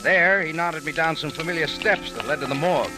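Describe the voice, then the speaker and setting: An actor playing a tough, wry American adventurer in old radio detective style, with a clipped, casual hard-boiled delivery. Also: male voice, a little high, fairly quick, lightly acted. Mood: hard-boiled narrating. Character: tough, wry American adventurer hero narrating his case. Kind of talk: 1940s-50s radio detective acting. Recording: old radio recording with music.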